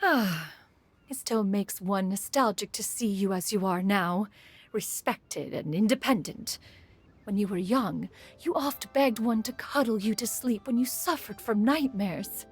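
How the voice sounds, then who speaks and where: A woman's voice: medium pitch, measured pace, husky. A woman voicing an ancient female crane spirit in a video game, formal and haughty, calling herself "one". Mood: stately.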